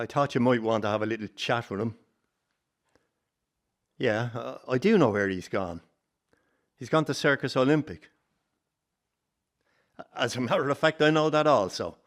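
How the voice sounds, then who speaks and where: Sly, brooding circus man for radio drama male actor, medium pitch. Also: lightly acted.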